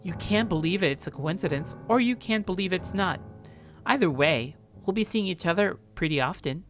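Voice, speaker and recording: A man's voice: high-pitched, animated, moderate pace, fairly bright tone, faint music behind, pitched above the performer's own voice. A man voicing a cheerful, pushy young woman neighbour in a visual novel, chatty and insistent. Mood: friendly, prying. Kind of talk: visual novel character read-aloud.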